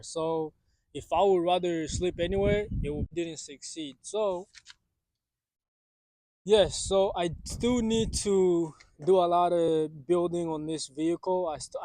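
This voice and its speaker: A man's voice: on the high side, darkish tone, no room sound. Rambling young man in his twenties. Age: 20s. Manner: rambling, filler-heavy, restarts often. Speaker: vlogger. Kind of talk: casual vlog monologue. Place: parked car in a parking lot.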